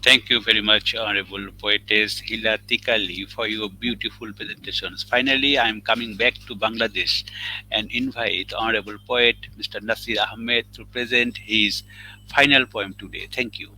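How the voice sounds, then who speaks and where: Guy hosting a poetry show, lowish voice, super bright tone, bit of hum behind. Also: steady tone, normal speed, low-quality recording. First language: Bengali. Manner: formal, repetitive introductions. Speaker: host. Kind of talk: formal event hosting.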